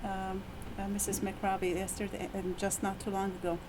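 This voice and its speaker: A woman's voice: mid-range voice, completely flat delivery, normal speed, background noise. A middle-aged woman with an Iranian accent, giving a faculty talk as a university lecturer, hesitant with many fillers and restarts, her voice tired after teaching. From Iran. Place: university meeting room.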